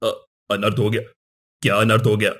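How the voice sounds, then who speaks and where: A man playing a stern older king in a cartoon story, speaking gravely and formally. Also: lowish voice, level tone, moderate pace, fairly bright tone, faint music behind. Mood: shocked. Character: stern, deep-voiced older king, prince's father.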